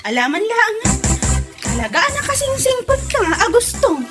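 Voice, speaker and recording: A woman's voice: extremely high pitch, big pitch swings, moderate pace, over loud music. A woman with an Ilocano accent playing a pretty, outspoken young village maiden in a comedy audio drama, frank and girlish. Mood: friendly, grateful. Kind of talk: amateur Ilocano comedy radio drama acting.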